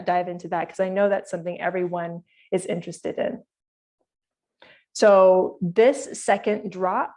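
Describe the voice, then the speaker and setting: A woman's voice: mid-range voice, darkish tone. A woman from Hawaii presenting her project to an online community meeting, speaking earnestly and directly to her listeners.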